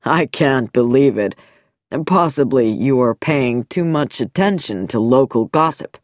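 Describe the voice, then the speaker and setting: A woman reading an audiobook voices a smooth, calm, quietly mocking middle-aged male doctor in a lowered voice. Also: measured pace, no room sound, clean studio sound.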